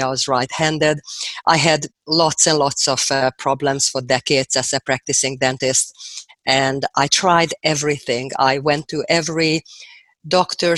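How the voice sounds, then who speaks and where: A woman's voice: deep voice, a bit bright, dry recording. An older woman from Hungary with a Hungarian accent, a guest dentist telling her story in an earnest, reflective way in a podcast interview.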